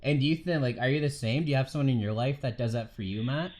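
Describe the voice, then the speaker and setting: A man's voice: medium pitch, fast, background noise. A man hosting a podcast interview, asking loose conversational questions. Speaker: podcast host. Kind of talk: casual interview.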